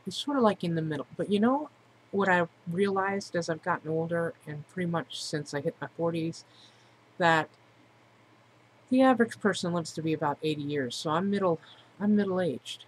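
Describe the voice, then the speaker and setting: A woman's voice: fairly low pitch, animated, normal speed. Woman, forties, candid and reflective vlogger speaking casually, noisy amateur recording. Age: 40s. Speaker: vlogger introducing themself. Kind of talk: personal monologue to camera, casual.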